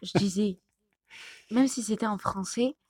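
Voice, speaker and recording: A woman's voice: medium pitch, a little slow, darkish tone, no echo, studio quality. A young woman co-hosting a casual chat about couples, teasing her partner and laughing often. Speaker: co-host.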